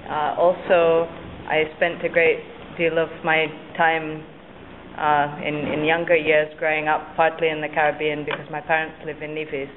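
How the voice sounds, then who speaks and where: A woman's voice: low-pitched, flat delivery, moderate pace. A woman from Kenya introducing herself informally to a meeting. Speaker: meeting participant, museum professional. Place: university meeting room. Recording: muffled, noisy meeting recording.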